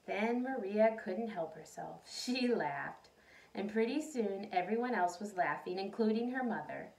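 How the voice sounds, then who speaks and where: A woman reading a storybook aloud to children in an expressive, animated way. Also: mid-range voice, unhurried, vocal fry. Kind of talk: expressive storybook read-aloud with questions to listeners. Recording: roomy echo, distant mic.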